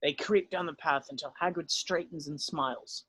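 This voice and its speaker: A man's voice: a little high, normal speed, a bit bright, a little hiss or hum. A man reading stage directions aloud as the narrator of a script read.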